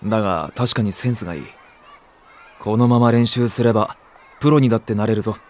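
An actor playing a cool, supportive nursery teacher and football coach, terse and matter-of-fact, in an anime-style audio drama. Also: male voice, lowish voice, brisk, a little mellow, slight background noise, close to an everyday voice. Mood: cool, encouraging.

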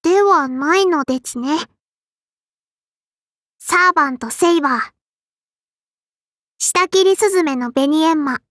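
Little sparrow spirit girl for an anime game, super high kiddy lisp, prim n bossy, dry studio sound. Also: a little slow, long pauses, fairly bright tone, dry recording. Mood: polite, dutiful. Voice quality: childlike, lisping. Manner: polite but scolding, quirky verbal tic. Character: small sparrow-spirit girl, strict, prim, proud cook and swordswoman. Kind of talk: anime game character voice lines.

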